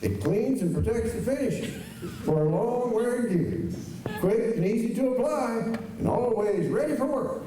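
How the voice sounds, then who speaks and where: A very reverberant hall surrounds this man reading an old-time radio advertisement. His voice is high for a man and quite dark and soft in tone, heard from some way off. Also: animated, normal speed. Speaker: radio commercial announcer. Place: high school auditorium stage. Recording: very echoey auditorium, distant, faint noise.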